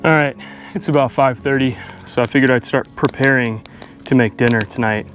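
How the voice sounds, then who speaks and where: A young man talking casually to his camera while camping, relaxed and chatty, with frequent laughs. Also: medium pitch, a little fast, music in the background. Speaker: vlogger. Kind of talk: casual vlog narration. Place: in a tent and at a campsite in the woods. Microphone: handheld action camera.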